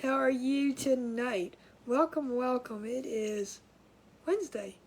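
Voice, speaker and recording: A woman's voice: high-pitched, slow, a little hiss or hum. A woman hosting a casual craft livestream from home, sounding nasal and congested, chatty and scattered, with frequent laughs and restarts.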